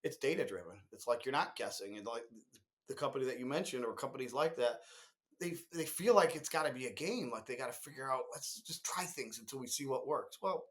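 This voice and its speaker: A man's voice: mid-range voice, animated, fairly quick. A man co-hosting a conversational business podcast, explaining emphatically and acting out imagined quotes as he goes. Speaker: podcast co-host discussing marketing.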